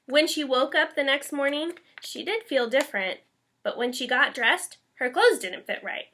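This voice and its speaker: A woman's voice: high-pitched, animated, normal speed, sharp tone, a little hiss or hum. A woman teacher reading a children's picture book aloud with expression.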